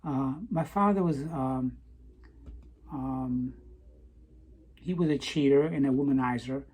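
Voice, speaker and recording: Middle-aged woman, husky voice, unhurried, hesitant, frequent pauses and restarts, personal monologue. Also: a bass voice, dark tone, poor audio. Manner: slow and hesitant, restarts words, many pauses with um. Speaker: vlogger.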